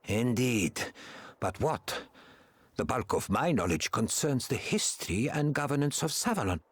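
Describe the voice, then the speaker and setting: An actor playing a courteous, learned male adviser in a video game, husky-voiced, speaking in a formal, measured way. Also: medium pitch, sing-song, no room sound. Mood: grave, thoughtful. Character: learned, courteous male scholar and royal adviser held prisoner. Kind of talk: video game cutscene acting. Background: background music.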